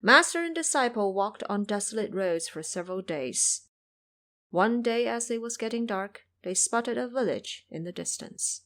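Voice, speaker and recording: A woman's voice: mid-range voice, expressive, moderate pace, bright, forceful tone. A woman narrating a scripted story as a storyteller.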